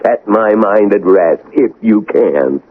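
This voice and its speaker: An actor playing a nervous, suspicious small-time crook in a radio drama, grumbling, stammering and slangy. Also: male voice, on the low side, animated, slow. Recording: tinny, phone-like old radio broadcast.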